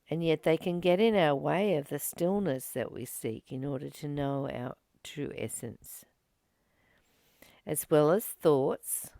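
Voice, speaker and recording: Slow, low gravelly woman reflecting softly. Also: dry recording. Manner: slow, gentle, contemplative. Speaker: spiritual study reader and teacher. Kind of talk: reading a spiritual text aloud and reflecting on it.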